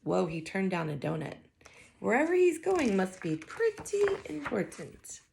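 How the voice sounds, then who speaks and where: Very creaky-voiced woman reading a children's story. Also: mid-range voice, a little slow, a little hiss or hum. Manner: expressive, thinking aloud. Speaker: read-aloud teacher. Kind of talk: children's picture book read-aloud with wondering asides.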